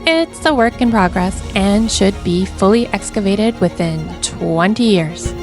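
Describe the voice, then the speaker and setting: A woman's voice: medium pitch, animated, a little slow. A woman narrating a travel vlog in an upbeat, scripted tour-guide voice-over. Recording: voice-over with music behind.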